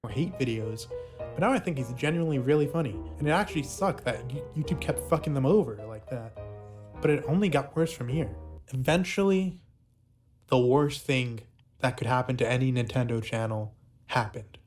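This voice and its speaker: A man's voice: mid-range voice, normal speed, a little mellow. A man reading a scripted but casually worded video essay narration. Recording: faint music under the voice. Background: faint background music.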